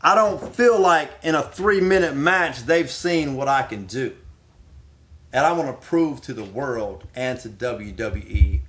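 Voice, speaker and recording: A man's voice: mid-range voice, a little slow, a bit bright. A middle-aged man, speaking as a pro wrestler making his case with dramatic, emphatic repetition. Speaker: professional wrestler. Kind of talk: promotional speech answering questions.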